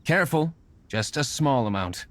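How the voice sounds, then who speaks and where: An actor playing an aloof, brooding young nobleman in an anime video game, speaking smoothly with formal, composed, coolly disdainful diction. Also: mid-range voice, animated, normal speed, natural voice. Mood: calculating.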